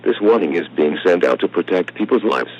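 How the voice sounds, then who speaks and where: A man voicing a stern, commanding announcer with a gravelly voice, giving a formal scripted emergency warning. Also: low voice, expressive, a little fast, heavy background noise. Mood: urgent, stern. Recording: dull, lo-fi radio-like warning audio.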